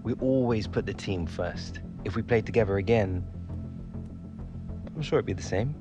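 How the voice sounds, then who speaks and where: An actor voicing a laid-back young footballer, low voice. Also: male voice, moderate pace, music in the background, lightly acted. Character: young male footballer, easygoing and cheeky. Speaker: main character of a football game story. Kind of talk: video game cutscene dialogue.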